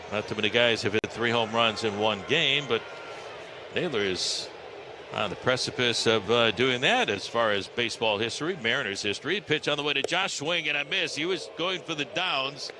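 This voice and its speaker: An American man doing live baseball play-by-play on the radio, describing the action as an announcer. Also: medium pitch. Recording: clearly noisy live ballpark radio broadcast.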